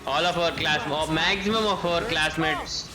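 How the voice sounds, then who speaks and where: A man's voice: a little high, moderate pace, music in the background. A man, chatting casually with viewers as he streams a game, often repeating short phrases. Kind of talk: casual live gameplay chat with viewers.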